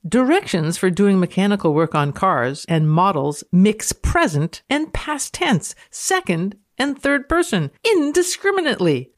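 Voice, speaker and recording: A woman's voice: mid-range voice, big pitch swings, a little slow, few pauses. A woman with a Canadian accent, reading texts aloud dramatically and commenting casually in a playful, mock-authoritative way. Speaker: guest reader and commentator on a podcast.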